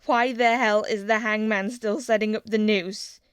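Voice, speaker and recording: A woman's voice: lowish voice, moderate pace, no echo, clean studio sound, close to an everyday voice. A woman reading the part of a stern, cruel middle-aged king who threatens and hisses his words. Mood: contemptuous. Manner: cold, threatening, hissing.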